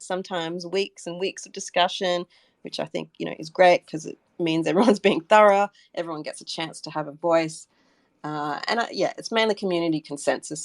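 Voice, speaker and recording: A woman's voice: low-pitched, vocal fry, dry recording. A woman speaking as a community representative in a casual interview, explaining things in a hesitant way. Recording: online audio chat room.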